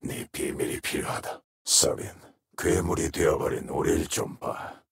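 A man voicing a menacing, brooding shadow wraith assassin in a video game, with a hoarse, whispery rasp and slow, ominous, terse delivery. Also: a bass voice, fairly bright tone, exaggerated voice. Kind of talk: video game character voice lines.

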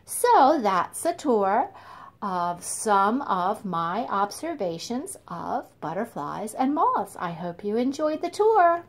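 A mature woman hosting storytime for young children, speaking expressively with drawn-out emphasis. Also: mid-range voice, sing-song. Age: middle-aged or older.

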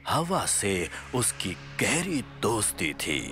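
A deep-voiced old bear storyteller. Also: male voice, big pitch swings, exaggerated voice. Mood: mysterious. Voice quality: slow hushed storytelling, half-whispered. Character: old wise male sloth bear elder, storyteller. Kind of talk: dubbed cartoon voice acting. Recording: studio voice over loud music.

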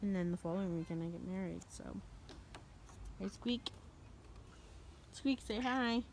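A woman's voice: mid-range voice, a little slow, a little mellow, slight background noise. A young woman chatting casually and rambling in an informal vlog, recorded on a handheld phone. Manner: informal, rambling, talks to a pet. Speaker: vlogger.

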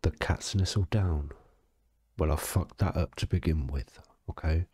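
A tipsy man with a very low, husky voice reads like he is telling a bedtime story. He goes slowly and deadpan, with casual swearing, in a close, dry sound. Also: dry recording. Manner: slow, sweary, deadpan, stumbling over words. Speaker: story reader. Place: alone in a quiet, dry-sounding space.

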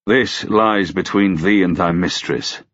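A man's voice: low-pitched, takes it slowly, a bit bright, dull audio, full character voice. A man voicing a proud, stern knight in an audiobook, with harsh, brusque, archaic courtly speech. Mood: stern, restrained. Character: proud, stern, gruff young-to-middle-aged male knight.

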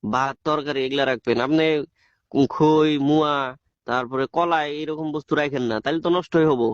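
A man's voice: fairly high pitch, normal speed, clear, resonant tone, no room sound, highs cut off, natural voice. A man playing an exasperated but patient shopkeeper in a comic phone skit, explaining repetitively with a rural eastern Bengali accent. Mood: patient, firm. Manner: explanatory, repetitive, hesitant restarts.

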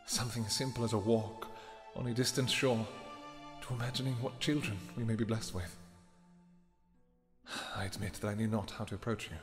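A man's voice: fairly low pitch, steady tone, fairly quick, soft background music, natural voice. A man playing a devoted royal vampire king in a romantic fantasy roleplay, speaking formally and intimately to his lover. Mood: tender, devoted. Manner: formal, archaic, intimate phrasing.

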